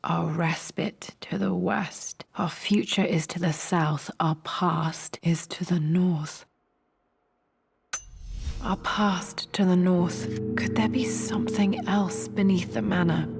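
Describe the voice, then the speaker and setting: A young British adventurer heroine in a game cutscene, earnest and determined. Her voice is breathy and lowish, creaking at the edges, and stays evenly pitched over music. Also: fairly even intonation, slow pace. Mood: hushed, pondering. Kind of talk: video game cutscene acting.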